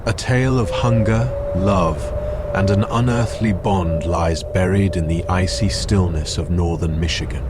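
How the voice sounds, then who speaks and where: Horror narrator: male actor as solemn storyteller, low and very unhurried, over noisy ambience. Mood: solemn, ominous. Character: ominous, solemn storyteller of eerie tales. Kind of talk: ominous scripted horror narration.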